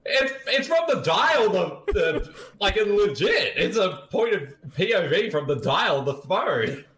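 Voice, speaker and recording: A man's voice: high voice, very animated, unhurried, fairly bright tone. A man co-hosting a podcast, riffing on a movie in casual chat, joking with exaggeration and laughing often. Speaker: podcast co-host.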